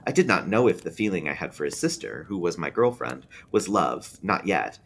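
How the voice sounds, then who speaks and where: A man reading an eerie story aloud as a librarian, in an expressive narrating style. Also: sits low, normal speed, slight background noise.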